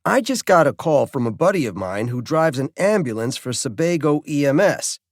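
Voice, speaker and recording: Man acting a brash, higher-pitched cynical game warden. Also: very animated, normal speed, dry recording, clean studio sound. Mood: excited, urgent. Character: cynical middle-aged male game warden, brash and excitable.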